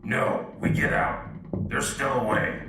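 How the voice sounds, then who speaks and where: A man's voice: medium pitch, fairly even intonation, slow pace, echoey room, recorded from a distance, over loud music, natural voice. A man playing a disillusioned, bitter soldier and reluctant propaganda hero in a sci-fi audio drama. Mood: pleading.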